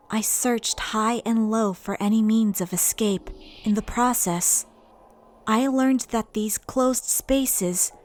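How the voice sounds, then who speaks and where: A woman voicing a composed, solemn dead schoolgirl in a game dub, speaking in a measured, formal way. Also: mid-range voice, close to an everyday voice. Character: solemn dead high school paranormalist girl ghost. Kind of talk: anime game fan dub acting.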